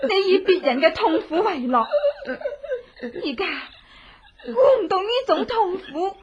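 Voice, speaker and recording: An actress playing a cruel, gloating widow out for revenge in a Cantonese radio horror drama, with a theatrical, taunting delivery and a Hong Kong accent. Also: female voice, extremely high pitch, animated, a little slow, highs cut off. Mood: menacing, taunting. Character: vengeful widow, cruel and gloating, torturing her husband's killer.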